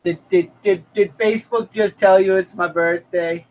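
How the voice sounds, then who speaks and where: A man's voice: sits high, steady tone, moderate pace, low-quality recording. A man in his thirties hosting a casual comic review live stream, upbeat and thanking viewers by name. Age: 30s.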